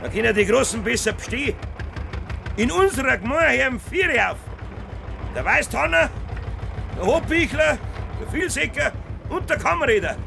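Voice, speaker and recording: A man's voice: extremely high pitch, very animated, normal speed, gravelly, long pauses, noisy background, heavily acted. A man playing a stubborn, boastful middle-aged farmer and father in a radio play, speaking emphatic Bavarian dialect. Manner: emphatic, blustering dialect speech. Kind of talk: naturalistic German radio play acting.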